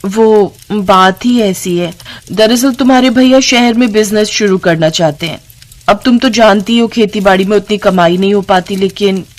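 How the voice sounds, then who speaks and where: Sharp-tongued scheming wife, acted by a woman. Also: medium pitch, normal speed, close to an everyday voice. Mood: sweetly wheedling. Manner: nagging, wheedling. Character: sharp-tongued, greedy, scheming village wife and sister-in-law. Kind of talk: acted dialogue in a narrated story.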